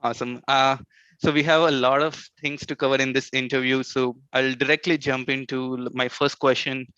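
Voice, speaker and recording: A man hosts a live online interview in a bright, clean-toned voice. His pitch stays quite flat as he introduces and questions. The call audio is dry and a little dull. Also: normal speed, smooth, no echo. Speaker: interview host. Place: remote online video call.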